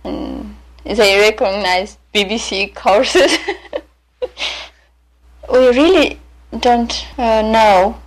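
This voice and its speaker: A woman from Romania, a native Romanian speaker with a Romanian accent, talking about herself in English in a hesitant, halting way with many pauses and fillers. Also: a little high. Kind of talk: unscripted personal monologue for a listening exercise.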